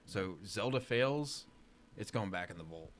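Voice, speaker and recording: A man's voice: on the low side, animated, a little slow, slight background noise. A man in casual podcast debate, giving emphatic opinions in slangy language. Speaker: podcast panelist.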